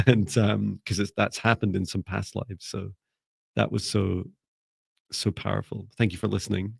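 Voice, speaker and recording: A low-pitched man with a gravelly edge to his voice leads a live spiritual class online. He talks in a calm, gentle, sleepy way. He often hesitates and restarts his sentences. The sound is close and dry. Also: a little slow, dry recording. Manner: calm, soft-spoken, gentle. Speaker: spiritual mentor. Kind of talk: live online class, reading viewer comments.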